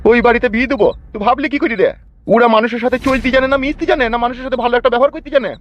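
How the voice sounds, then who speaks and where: A man's voice: very high voice, fairly even intonation, racing. A man voicing a hot-tempered, stubbornly proud village father in a Bengali comedy cartoon, with a rural West Bengal accent and a blustering manner. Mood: angry, stubborn. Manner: blustering, threatening. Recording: dull lo-fi sound, faint music.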